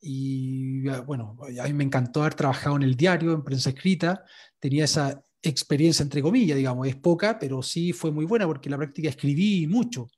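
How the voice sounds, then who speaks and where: A sports journalist being interviewed, telling his story in a relaxed way, with many fillers and restarts. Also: fairly high pitch, brisk, breathy edge. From Chile. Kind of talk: interview answers.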